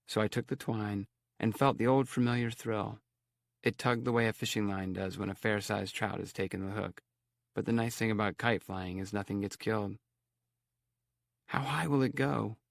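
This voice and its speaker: A man's voice: lowish voice, fairly even intonation, normal speed, creaky, no room sound, studio quality. A man reading scripted first-person story narration as an audiobook narrator.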